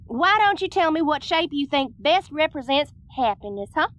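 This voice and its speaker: A woman's voice: high voice, big pitch swings, moderate pace, a bit bright. A woman playing a folksy, chatty alien widow and business boss with a Southern US accent, in an audio roleplay. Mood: friendly, flirty. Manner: folksy, chatty, self-assured.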